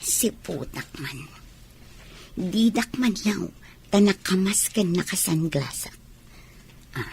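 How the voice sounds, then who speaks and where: A woman's voice: fairly low pitch, creaky, a little hiss or hum, full character voice. A woman acting as a scheming, jealous mistress in an Ilocano radio drama, with a coaxing, gloating delivery. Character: scheming mistress, sweet-talking her soldier lover, jealous and sly.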